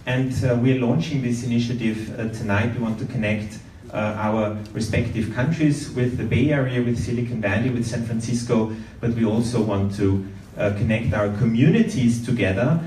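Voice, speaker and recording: Man from Austria, event host, lively crowd-work, roomy echo, distant mic. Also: mid-range voice, moderate pace. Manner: lively, rallying the audience with shouted questions. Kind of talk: upbeat crowd-work introduction. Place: large event venue indoors. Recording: echoey hall, distant mic, faint room noise.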